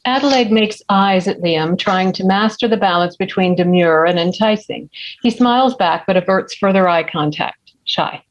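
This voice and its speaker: A woman's voice: medium pitch, moderate pace, muffled recording. A woman giving scripted narration of stage directions at a screenplay table read.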